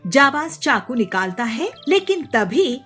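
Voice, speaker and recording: A woman's voice: high-pitched, very animated, measured pace, fairly bright tone, faint music behind. A woman narrating a scripted children's story as the storyteller.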